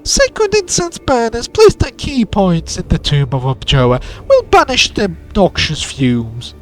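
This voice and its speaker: A man putting on a shrill, raspy zealot priest. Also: wildly swooping pitch, a little slow, soft background music, exaggerated voice. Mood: solemn. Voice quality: raspy from a cold.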